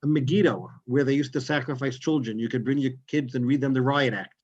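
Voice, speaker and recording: A man from New York with a New York accent, giving a quick, chatty guest lecture over a video call. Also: medium pitch, fairly even intonation, a little mellow. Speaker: guest speaker, tour guide. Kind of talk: Zoom lecture. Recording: dull lo-fi Zoom audio.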